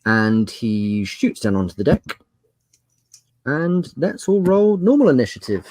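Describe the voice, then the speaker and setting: A man narrating a tabletop game as game master, using dramatic pauses and emphasis as he describes scenes. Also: mid-range voice, big pitch swings, a little mellow, no room sound.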